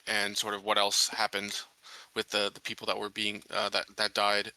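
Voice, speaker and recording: Male roleplaying player, casual and hesitant, crisp bright voice, measured delivery. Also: fairly low pitch, completely flat delivery, dry recording. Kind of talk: casual tabletop game talk.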